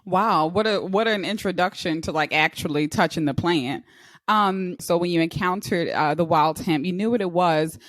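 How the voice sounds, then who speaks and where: A woman's voice: on the low side, moderate pace, no room sound. Dry-sounding woman hosting an interview. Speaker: podcast host. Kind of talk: interview questions.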